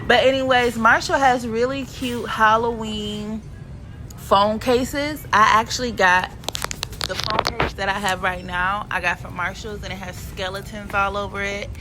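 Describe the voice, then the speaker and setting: A woman's voice: mid-range voice, fairly even intonation, a little slow. A woman narrates her shopping vlog in a casual, playful, slangy way. Her voice sounds stuffy and sniffly from a cold. A faint background hiss sits under the home recording. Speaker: vlogger.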